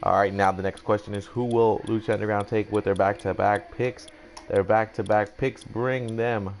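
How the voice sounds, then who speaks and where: A man's voice: fairly low pitch, fairly even intonation, normal speed. A young man doing casual, rambling mock-announcer commentary for a video game draft, swearing now and then. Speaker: gaming YouTuber.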